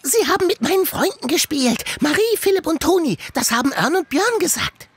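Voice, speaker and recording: A woman's voice: high voice, very animated, brisk. A woman playing a small, timid, chatty talking chipmunk in a children's audio drama. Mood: eager, earnest.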